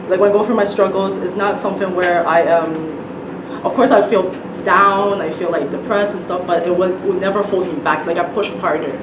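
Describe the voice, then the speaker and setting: Woman guest author, Cantonese first language; soft, unhurried answers over heavy background noise. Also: lowish voice. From Canada. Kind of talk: casual interview answers. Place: studio. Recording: roomy, distant mic, loud noise.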